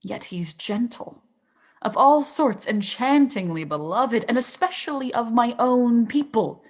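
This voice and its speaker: A woman's voice: on the high side, expressive, measured pace. A woman playing a scheming, envious male villain in a Shakespearean radio play. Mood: bitter, scheming. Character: scheming, envious villain who hates his brother.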